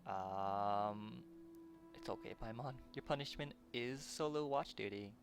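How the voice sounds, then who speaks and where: A man's voice: on the low side, measured pace. A man doing casual playthrough commentary as a game streamer, musing aloud in short reactions and questions. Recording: loud game music under the voice. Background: video game music.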